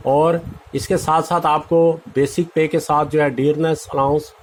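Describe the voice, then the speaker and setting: A man's voice: fairly high pitch, flat delivery, unhurried, a little hiss or hum, rough recording. An Indian man presenting an informal, instructive explainer, mixing in English terms and repeating key points. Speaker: exam-prep presenter.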